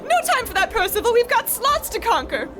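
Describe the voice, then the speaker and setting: A woman's voice: very high-pitched, sing-song, fairly quick, music in the background. A woman playing a giddy, wheedling wife with quaint melodramatic airs in an old-time comedy radio play. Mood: elated.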